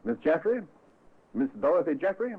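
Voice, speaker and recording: A man playing a calm, firm police inspector with a British accent and a clipped, polite, authoritative manner in a vintage radio drama. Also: on the high side, normal speed, slightly rough. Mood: polite, pointed. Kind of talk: 1950s radio drama acting. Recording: thin, phone-like vintage radio recording.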